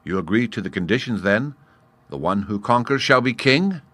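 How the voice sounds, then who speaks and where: Storybook gnat, small and smug, mocking tone, a bit raised and bright, read by a man. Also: lowish voice, lively intonation, normal speed, no room sound, pitched above the performer's own voice. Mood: taunting. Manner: disdainful, cheeky. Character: tiny cocky male gnat, disdainful and clever.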